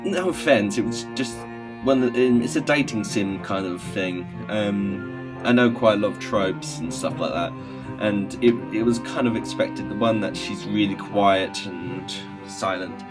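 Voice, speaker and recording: A man hosting a gaming video, reading visual novel text aloud with casual asides and halting stumbles over the words. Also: fairly low pitch. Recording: music playing behind the voice.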